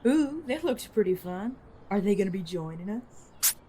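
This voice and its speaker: A woman's voice: mid-range voice, sing-song, normal speed, dark tone, husky. A woman playing a cheeky, nosy young vigilante in an audio roleplay, talking in a casual, slangy, teasing way. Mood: curious.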